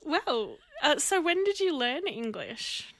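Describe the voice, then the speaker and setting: A woman's voice: high-pitched, sing-song, slow, fairly bright tone, no room sound, faint music behind. A woman hosting a podcast interview, asking questions and laughing along encouragingly. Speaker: podcast host. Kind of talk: interview host.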